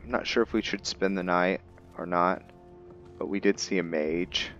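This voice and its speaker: A man's voice: low voice, moderate pace, fairly bright tone. A man giving casual roleplay commentary on a game, thinking aloud and slipping into character. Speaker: gaming host. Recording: dull lo-fi mic, music behind.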